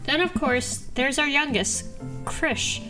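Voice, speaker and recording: A woman's voice: sits high, takes it slowly, over loud music, rough recording. A woman voicing a gracious, welcoming suburban dad from a dating game with a genial manner.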